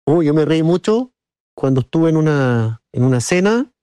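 A man's voice: a little high, animated, moderate pace, mellow, clear, resonant tone, no room sound. A man from Chile with a Chilean accent, answering in an interview in an earnest, colloquial, anecdotal way. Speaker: interview guest, businessman and board member of a business council.

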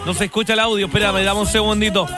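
A high-voiced man with a southern Chilean accent presents live radio over loud music. He projects like an exuberant announcer, throwing in chatty interjections as he goes. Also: little pitch movement, fast talker. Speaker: radio host and DJ.

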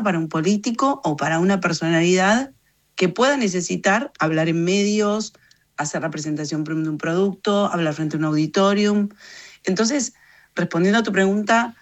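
A woman in her fifties with an Argentine Rioplatense accent, answering interview questions reflectively in an explanatory, emphatic way. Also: fairly low pitch. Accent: Argentine Rioplatense Spanish. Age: 50s. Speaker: interview guest, journalist and communications consultant.

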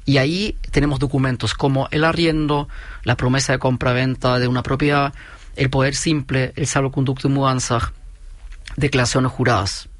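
A man's voice: medium pitch, moderate pace, no echo. German-Chilean man explaining steadily. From Chile, raised in Germany. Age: 40s. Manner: steady, methodical, explains point by point. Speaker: company founder, guest. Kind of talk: explanatory business interview.

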